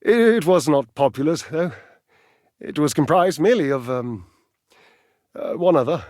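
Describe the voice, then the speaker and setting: An actor playing a vain, athletic talking appliance in a dating game, pompous and petulant, with flamboyant, condescending, wordy delivery. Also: male voice, fairly high pitch, animated, measured pace, a little mellow, no room sound, clean studio sound. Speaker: video game character actor. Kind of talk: theatrical dating-game character acting.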